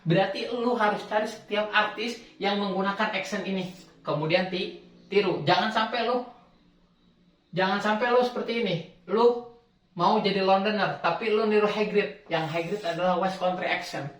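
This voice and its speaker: A man's voice: high-pitched, moderate pace, a bit bright, distant mic. Chatty young man in echoey room. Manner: chatty, slangy, self-correcting. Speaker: language tutor. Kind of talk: casual explainer video. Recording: echoey room, away from mic.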